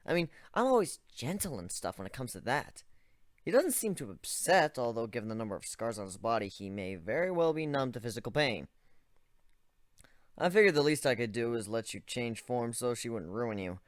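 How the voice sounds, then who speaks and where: A man's voice: medium pitch, very animated, moderate pace, no room sound, clean studio sound. A man giving a first-person dramatic reading of a story, with a conversational, wry delivery. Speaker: story reader.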